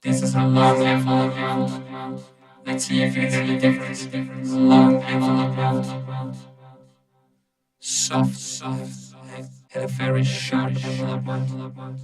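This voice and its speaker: A man speaking through a synthesizer vocoder as a Transformer-like robot, deliberate and repeating his words. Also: mid-range voice, completely flat delivery, a little mellow, faint music behind. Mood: measured, mechanical. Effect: vocoder robot filter driven by synthesizer.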